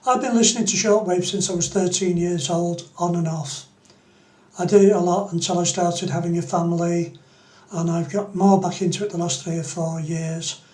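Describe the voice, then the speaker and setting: A man's voice: high voice, steady tone, moderate pace, a little mellow, roomy sound. A middle-aged man, giving an informal explanatory tutorial as a hobbyist. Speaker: amateur radio hobbyist presenting a tutorial.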